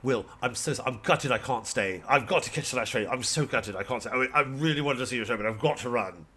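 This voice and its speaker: A man's voice: medium pitch, fast talker, bright, forceful tone. A man acts out an over-sincere male comedy colleague, full of regret and excuses. The voice gushes and repeats its apologies in a comic anecdote. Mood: gushing regret. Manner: effusive, repetitive, over-sincere. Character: gushing, apologetic fellow comedian making excuses to leave.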